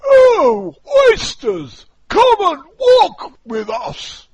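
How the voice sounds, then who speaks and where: A booming, pompous old walrus. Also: male voice, wildly swooping pitch, drawn-out words, no room sound, heavily acted. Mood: coaxing. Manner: theatrical, declaiming and shouting. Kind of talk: storybook poem reading.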